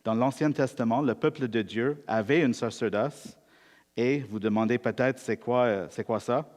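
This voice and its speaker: A Canadian man whose first language is English, preaching a sermon in measured, deliberate speech and slowing down to read scripture. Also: medium pitch, darkish tone, long reverb tail.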